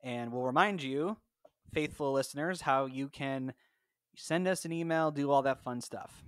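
A man's voice: mid-range voice, measured pace, dry recording. A man hosting a podcast in a conversational style on a close mic. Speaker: podcast host. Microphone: close personal mic, remote recording.

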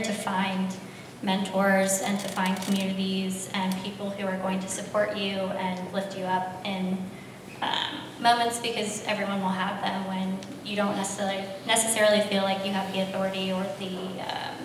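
A woman's voice: mid-range voice, noisy background. A young woman on a panel telling a personal story earnestly with frequent fillers, holding a handheld mic in a large brick-walled gallery. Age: young adult. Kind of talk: panel discussion. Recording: echoey hall from far off.

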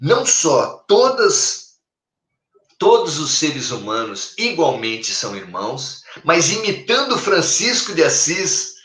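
Expressive Brazilian man preaching on a video call. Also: on the high side, takes it slowly. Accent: Brazilian Portuguese. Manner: expressive, gestures widely, preacherly cadence. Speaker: spiritist speaker. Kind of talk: spiritual talk on a live stream. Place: home room, indoors. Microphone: webcam on a video call. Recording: echoey room.

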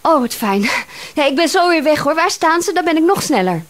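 A woman's voice: high-pitched, animated, fairly quick. An actress playing a flustered, chatty woman detective of about thirty in a Dutch radio drama. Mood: breathless, friendly. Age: young adult. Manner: chatty, apologetic, exclaiming. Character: flustered, chatty female private detective around thirty, indignant under pressure.

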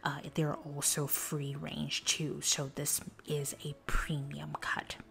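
A woman narrating a food review in a soft, breathy whisper, calm and descriptive. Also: sits low, slow, faint music behind. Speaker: food vlogger.

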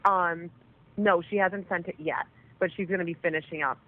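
A woman's voice: on the low side, fairly even intonation, normal speed. A woman chatting casually on a phone call, often swearing as she talks. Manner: frequent swearing. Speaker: caller on a jail phone call. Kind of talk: casual chat. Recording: noisy narrowband telephone line.

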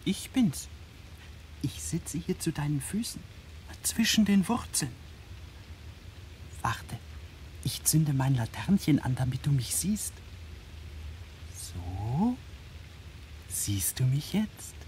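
A woman's voice: low voice, big pitch swings, takes it slowly, long pauses, darkish tone, a little hiss or hum, heavily acted. A woman in a German radio play, playing a tiny kindly king of a single day, confiding and solemn in storybook fashion. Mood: friendly. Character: tiny kindly February day-king, youngest of twenty-eight brothers.

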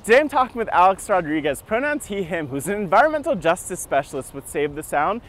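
A man's voice: high-pitched, big pitch swings, fast talker, fairly bright tone. A young man hosting a climate show, presenting upbeat and emphatic from an outdoor city park. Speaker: host and interviewer. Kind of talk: presenting outdoors, then asking interview questions.

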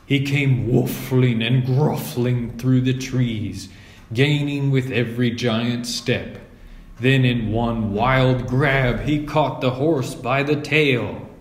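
A man's voice: medium pitch, slow. A man reading a children's story aloud as scripted narration. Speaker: storybook reader. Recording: roomy echo.

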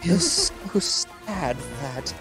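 A woman's voice: on the low side, huge pitch swings, very slow. A woman playfully reading game lines aloud among friends. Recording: loud music behind the voice. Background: game music.

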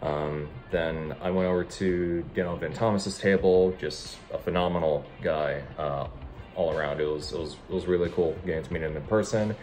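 Young man, low-pitched, casual vlogger, halting speech, prominent background music. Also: steady tone, a little mellow. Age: young adult. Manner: hesitant, halting delivery. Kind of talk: casual vlog chat.

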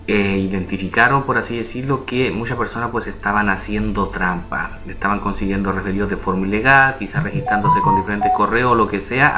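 A man giving a chatty, step-by-step voice-over for a screen-recorded tutorial, often checking in with the viewer as he explains. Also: fairly low pitch. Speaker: online earnings tutorial creator.

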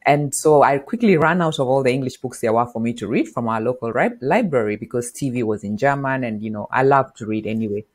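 A middle-aged woman from Kenya, a podcast guest, explaining marketing in an animated interview on a lapel mic in a home office. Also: extremely low pitch, fast talker, few pauses. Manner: animated, gestures while explaining. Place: bright home office with bookshelf.

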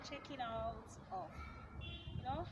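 A woman's voice: high-pitched, slow pace, far from the mic, muffled recording. A woman in her thirties with a Nigerian accent, chatting playfully as she films her family vlog. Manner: chatty, playful, laughs often. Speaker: vlogger. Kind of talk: casual vlog chat. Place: outdoor yard and indoor kitchen. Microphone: handheld phone camera. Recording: noisy handheld phone audio.

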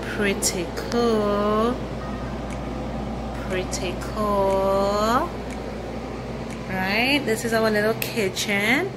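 Slow-paced woman vlogging. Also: medium pitch, very noisy. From South Africa. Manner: unhurried, repeats phrases. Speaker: travel vlogger. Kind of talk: casual vlog chat to viewers. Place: indoors in a rental home.

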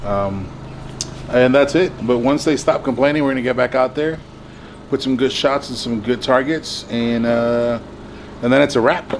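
A man's voice: medium pitch, moderate pace. A young man bantering outdoors, joking and talking cocky trash to his friends. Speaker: participant and veteran friend. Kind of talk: casual banter. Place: outdoors on a mountain course. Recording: outdoor camera audio with loud background noise.

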